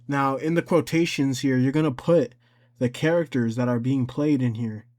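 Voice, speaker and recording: A man talking casually and unscripted through a screen-recorded tutorial, halting often, repeating his instructions and correcting himself. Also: mid-range voice, steady tone, darkish tone. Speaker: tutorial creator.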